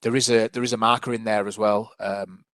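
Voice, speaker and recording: Man, public health officer, low flat delivery, dry sound, hesitant council presentation. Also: brisk, no room sound. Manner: hesitant, many restarts and fillers.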